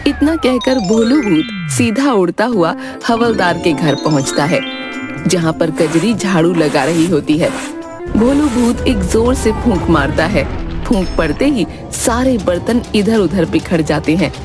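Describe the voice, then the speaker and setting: A woman's voice: on the low side, animated, measured pace, over music. A woman reading scripted narration for a cartoon story.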